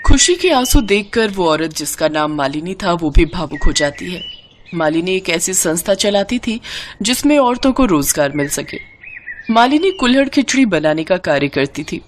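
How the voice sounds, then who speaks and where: A woman narrating a scripted moral story in an expressive, dramatic way. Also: fairly low pitch, moderate pace, a little hiss or hum.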